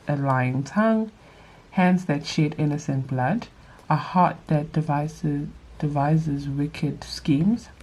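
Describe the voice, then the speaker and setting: A young woman with a nasal, allergy-stuffed voice, reading scripture aloud and adding quick casual asides in a Bible study vlog. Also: sits low, a little mellow, breathy edge, low-quality recording. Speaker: vlogger leading a Bible study.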